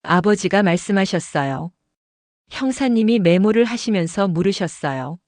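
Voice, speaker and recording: A woman narrates an audio drama in the first person with a calm storytelling delivery. Her pitch sits in the middle range. The studio recording is close and entirely dry. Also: normal speed, no room sound.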